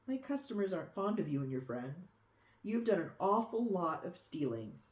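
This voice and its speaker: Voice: mid-range voice, very animated, moderate pace, echoey room, close to an everyday voice. A reader voicing a kindly woman baker in a storybook read-aloud. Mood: firm, then welcoming. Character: kindly woman baker inviting a small dragon in.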